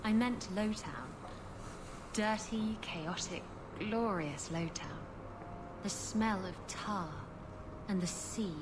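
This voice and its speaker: A woman's voice: mid-range voice, background noise, close to an everyday voice. A woman playing a flirtatious, worldly pirate in a video game, with a sultry, teasing, carefree delivery. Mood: wry nostalgia.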